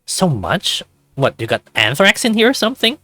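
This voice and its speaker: Man playing a lively, sarcastic teenage guy. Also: high voice, normal speed, no room sound, voice pushed up, full character voice. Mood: incredulous. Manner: dry, teasing, exclamatory questions. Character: sarcastic, incredulous teenage guy, the story's hero.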